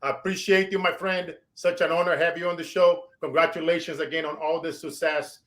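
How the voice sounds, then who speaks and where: A man's voice: a little high, flat delivery, fairly quick, slight background noise. Emphatic Brazilian-accented man host. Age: 40s. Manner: emphatic, preachy, shout-outs. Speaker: show host. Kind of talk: motivational interview wrap-up.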